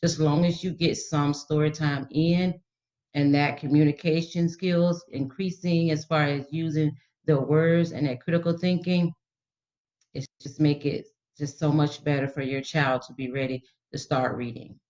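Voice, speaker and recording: A woman's voice: low voice, steady tone, moderate pace, a little mellow. Woman educator on slightly dull, lo-fi audio. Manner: instructive, reassuring, colloquial phrasing. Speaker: guest storytime educator. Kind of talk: informal explanatory tips for parents.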